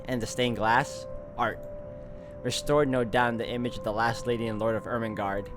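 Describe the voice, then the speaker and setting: A man's voice: medium pitch, fairly even intonation, moderate pace. Man narrating a game playthrough over music. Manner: casual asides, thinking aloud. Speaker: let's play host. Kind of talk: visual novel playthrough, reading aloud and commenting. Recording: music behind the voice.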